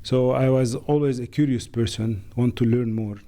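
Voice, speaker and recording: A middle-aged man from Lebanon, a managing director answering interview questions in a thoughtful, halting way with many fillers. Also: medium pitch, fairly even intonation, warm tone.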